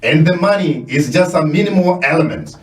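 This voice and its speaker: A man with a Kenyan accent hosting a radio interview in a studio, exclaiming often and talking with animated, effusive energy. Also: fairly high pitch, a little fast, roomy sound, distant mic, poor audio. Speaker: radio show host.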